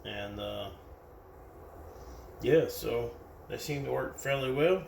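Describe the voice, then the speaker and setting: Gravelly American man in a roomy recording. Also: medium pitch, takes it slowly. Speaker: product reviewer. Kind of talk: casual hands-on product demonstration. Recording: roomy, distant mic with background noise.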